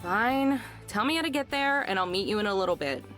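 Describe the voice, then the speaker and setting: A woman's voice: mid-range voice, very animated, a little fast, music in the background, lightly acted. A woman playing a guarded but caring young drifter in a visual novel, speaking in a dry, casual way. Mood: wary.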